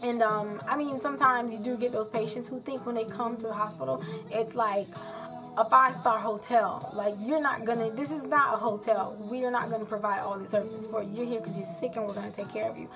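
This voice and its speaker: A woman's voice: on the high side, fairly even intonation, fairly quick, music in the background. A woman born in Haiti and raised in South Florida, a nurse, venting bluntly and frankly in a rambling casual vlog.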